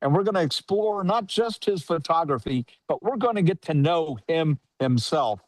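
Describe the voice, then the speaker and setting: Male host, jokey and upbeat, a little higher voice, dry close sound. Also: lively intonation, normal speed, no room sound. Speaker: interviewer and host. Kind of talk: hosted interview on a video call. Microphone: video call.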